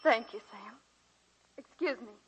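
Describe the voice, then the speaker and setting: An actress playing a refined, warm-hearted college teacher and aunt, genteel and earnest, in a 1940s radio drama. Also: female voice, fairly high pitch, unhurried, dull audio, slight background noise, close to an everyday voice. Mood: confiding. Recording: vintage 1940s radio broadcast.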